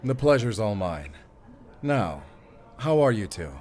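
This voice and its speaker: A man's voice: on the low side, big pitch swings, unhurried, a little mellow. A man playing a courteous, genial father of a grown son in an audio drama. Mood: genial, concerned. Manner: polite, jovial.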